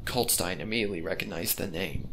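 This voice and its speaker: A man's voice: low-pitched, fast talker, gravelly. A man reading fan fiction aloud as a scripted narrator. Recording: heavy background noise with birdsong.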